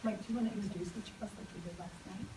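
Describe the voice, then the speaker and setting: A woman's voice: mid-range voice, steady tone, rapid-fire. A woman organizing a community meeting, prompting the host with brief remarks. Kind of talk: meeting logistics. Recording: echoey, distant, loud background noise.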